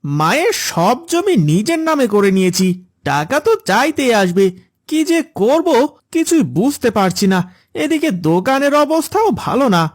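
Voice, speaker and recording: A man's voice: very high voice, big pitch swings, normal speed, dry recording, clean studio sound, heavily acted. Man acting an irritable son with swooping intonation. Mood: troubled. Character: neglectful adult son, irritable, evasive, hard-up. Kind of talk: cartoon moral story dialogue. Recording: music under the voice.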